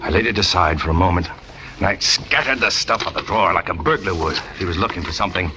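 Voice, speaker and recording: A man's voice: sits low, fast, background noise, lightly acted. An actor playing a tormented, jealous man who narrates his crime with brooding intensity, in 1940s radio drama style. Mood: brooding, tense. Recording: muffled old radio recording with music.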